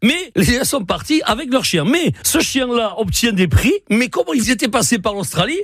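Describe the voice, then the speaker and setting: A man's voice: very high voice, animated, normal speed, a bit bright, breathy edge, dry recording, studio quality. A man explaining a story on a radio segment, playful and emphatic. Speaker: radio columnist on local heritage.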